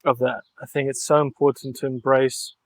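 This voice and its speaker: A man's voice: mid-range voice, level tone, normal speed. Young man talking in an earnest, reflective way. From Mallorca, Spain. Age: young adult. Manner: earnest and reflective, thinks aloud in long flowing sentences. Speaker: podcast guest, artist. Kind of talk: relaxed interview conversation.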